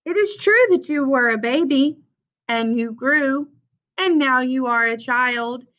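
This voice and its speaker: Bright, slow-paced woman reading to children. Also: high voice. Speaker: read-aloud presenter for children. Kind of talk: children's picture book read-aloud. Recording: dull, lo-fi sound.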